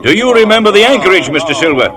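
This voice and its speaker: Animated, resolute ship's captain. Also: male voice, high voice, fast talker, a crowd in the background. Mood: brisk command. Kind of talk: old radio drama acting. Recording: noisy old radio broadcast recording.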